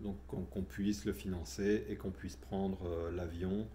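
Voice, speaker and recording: A man's voice: deep voice, steady tone, a little slow, mellow, a little hiss or hum. A middle-aged man telling his personal story in a casual, hesitant way, with many fillers and restarts. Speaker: vlogger telling his spiritual journey.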